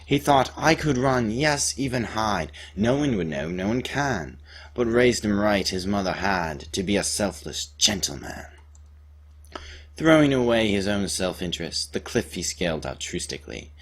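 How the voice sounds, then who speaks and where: A pompous balladeer acted by a man declaims a comic storytelling ballad. The voice is low, and the delivery is theatrical and emphatic, treating small misadventures as grand heroics. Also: lively intonation, moderate pace, fairly bright tone. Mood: dramatic. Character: mock-heroic balladeer, grandly recounting a young man's misadventure. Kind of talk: dramatic recitation of a comic rhyming ballad.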